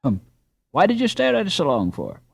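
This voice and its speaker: A man's voice: on the high side, huge pitch swings, a little fast, gravelly, husky, no room sound, pitched above the performer's own voice, exaggerated voice. A man voicing a scornful, teasing boy in a storybook, with a disdainful manner. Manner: disdainful, sneering. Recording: slightly dull, dry recording.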